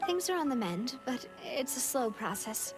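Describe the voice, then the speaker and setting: A woman playing a poised, considerate young lady in a video game, speaking politely and frankly in measured phrases. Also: sits high, very animated. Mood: earnest, gentle. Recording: clear music behind the voice.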